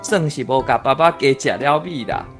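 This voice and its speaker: A woman's voice: rumbling low, animated, normal speed, faint music behind. An actress playing a teasing, good-humoured female relative in a radio drama, bantering in a homely way with a Taiwanese accent.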